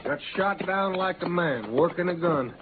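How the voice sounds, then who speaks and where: An actor playing a proud, stubborn Western outlaw with a folksy American rustic drawl in a 1950s radio drama. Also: male voice, high-pitched, expressive, normal speed. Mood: brooding, proud. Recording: noisy, tinny old-time radio recording.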